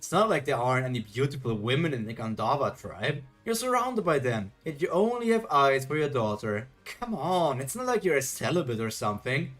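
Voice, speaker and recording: A man's voice: mid-range voice, sing-song, fairly quick, hardly any pauses, a bit bright, faint music behind. A man reading the lines of a scornful female demon king who taunts her rival. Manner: sneering, condescending.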